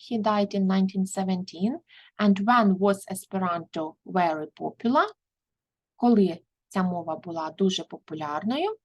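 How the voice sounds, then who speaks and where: Husky woman teaching English. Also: mid-range voice, lively intonation, moderate pace. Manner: reads each English word, then its translation. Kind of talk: bilingual lesson explanation.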